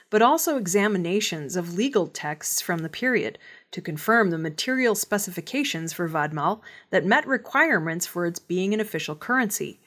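A woman reading scripted news in a measured, formal delivery. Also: mid-range voice, a bit bright. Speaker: news reader.

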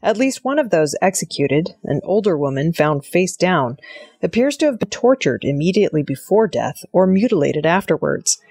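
A woman reading scripted news narration in a measured, formal manner. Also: lowish voice, very animated, gravelly, darkish tone, husky, no echo. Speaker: news reader.

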